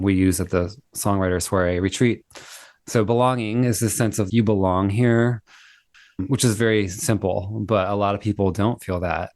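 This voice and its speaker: A fairly low-pitched man talks with easy enthusiasm, often affirming what he hears. He is heard over a video call, and the sound is dry with no room echo. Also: darkish tone. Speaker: guest, singer-songwriter. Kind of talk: casual interview chat.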